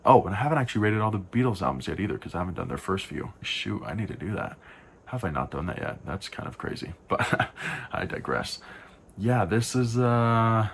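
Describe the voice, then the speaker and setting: A young man with a deep voice talking casually through album reviews, frank and rambling, correcting himself with sighs and asides. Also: big pitch swings, a little fast, creaky, slight background noise. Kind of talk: casual spoken album rundown.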